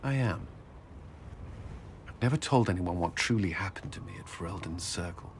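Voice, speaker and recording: An actor playing an earnest, humbled, dutiful warrior in a video game, speaking sincerely and haltingly. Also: male voice, deep voice, expressive. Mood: remorseful. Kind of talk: video game cutscene dialogue. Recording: clear background ambient noise.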